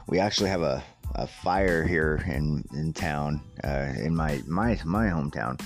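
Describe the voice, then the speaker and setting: A man hosting a casual solo podcast about news stories, with a slightly raspy voice and a halting, amused way of talking. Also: extremely low pitch, faint music behind. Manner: halting, pausing mid-sentence; amused, chuckling as he talks. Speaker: podcast host.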